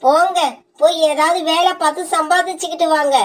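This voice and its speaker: A woman plays a grasping young wife bird in a cartoon who bosses her husband around. She scolds in a very high, piercing voice. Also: fairly even intonation, brisk. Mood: bossy. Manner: scolding, bossy. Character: greedy young wife bird, sharp-tongued.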